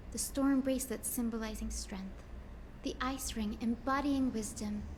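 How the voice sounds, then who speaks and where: An actress voicing a gentle, earnest young granddaughter, a kind-hearted heroine in a dramatic fantasy game. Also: female voice, a little high, slight background noise, lightly acted. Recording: faint music behind the voice.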